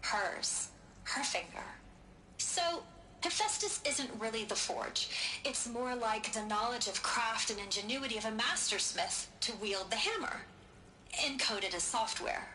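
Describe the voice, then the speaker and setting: A woman's voice: fairly high pitch, lively intonation, a little slow, sharp tone, low-quality recording. An actress playing a playful female scientist explaining her work in a recorded message in a video game. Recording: game audio with background noise.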